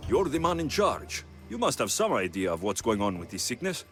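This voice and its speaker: An actor playing a bold ancient Greek mercenary hero in a video game, speaking in a direct, probing and firm way. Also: male voice, mid-range voice, very animated, a little fast, a bit bright. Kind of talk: dramatic video game acting. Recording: game cutscene with music behind.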